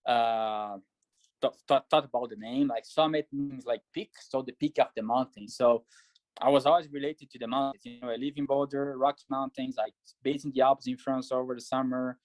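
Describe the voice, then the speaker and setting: A man's voice: medium pitch, steady tone, no room sound, rough recording. Man, dry close sound. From Brazil. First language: Portuguese. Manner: many fillers, thoughtful and measured. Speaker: pro triathlete and coach. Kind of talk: casual interview answers.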